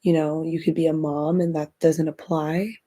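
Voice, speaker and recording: Woman host, husky and raspy after illness, warm lowish voice, casual self-help talk. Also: little pitch movement, moderate pace. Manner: chatty, encouraging, repeats phrases. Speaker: podcast host.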